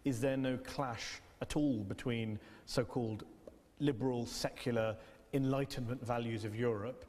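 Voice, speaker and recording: A man's voice: mid-range voice, fairly even intonation, normal speed, darkish tone. A man hosting a broadcast interview, pressing his guest with pointed, rhetorical questions and cutting in. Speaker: TV interviewer host. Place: debating hall with audience. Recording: long hall reverb.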